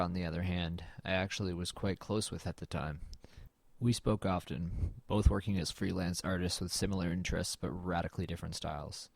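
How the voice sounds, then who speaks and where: A man's voice: deep voice, gravelly, dry recording. A man playing a brooding, melancholy artist who narrates an audio drama in a reflective, understated, slightly hesitant way. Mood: subdued, reflective.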